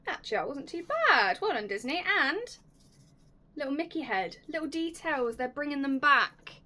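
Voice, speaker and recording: A young woman hosting a casual unboxing vlog, chatty and enthusiastic, her voice slightly raspy and going hoarse. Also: high voice, sing-song, normal speed, noisy background. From United Kingdom. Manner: chatty, enthusiastic, drops to soft whispers at times. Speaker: YouTube host.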